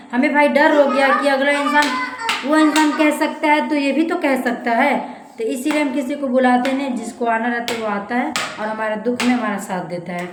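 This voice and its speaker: A young woman vlogger speaks Hindi with a Bhojpuri accent in a fairly high voice. She chats earnestly and plaintively, often repeating herself. The room is echoey, with clear noise behind her. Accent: Bhojpuri-accented Hindi, eastern Uttar Pradesh. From eastern Uttar Pradesh, India. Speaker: family vlogger. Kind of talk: casual confiding vlog chat to viewers. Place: indoors in a rented room.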